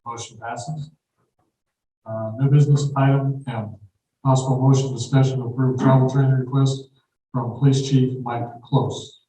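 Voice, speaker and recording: A man chairing a city council meeting, calling votes and reading agenda items in a brisk, procedural way. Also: medium pitch, flat delivery, dark tone. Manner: brisk procedural, quickens when explaining. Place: council auditorium. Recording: echoey hall from far off, faint noise.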